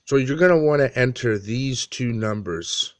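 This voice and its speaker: A man in his thirties giving a casual how-to walkthrough in a halting, plain-spoken way, pausing often. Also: medium pitch, animated. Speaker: amateur tutorial presenter. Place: small dim room indoors.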